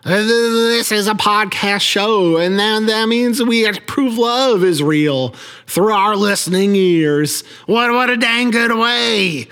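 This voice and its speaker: A man hosting a podcast, introducing the show in a halting, folksy, oddly worded way with frequent stammers. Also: high voice, pushed and bright, clear, resonant tone, big-room sound. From Billings, Montana. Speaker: podcast host. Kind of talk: quirky scripted host monologue.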